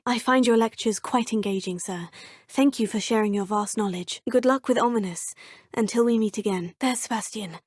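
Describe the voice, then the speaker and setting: A woman voicing a poised, curious young witch student, speaking in polite, formal, measured game dialogue with a husky tone. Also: fairly high pitch, a little mellow, no echo, studio quality. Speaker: player character. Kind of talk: video game voice lines.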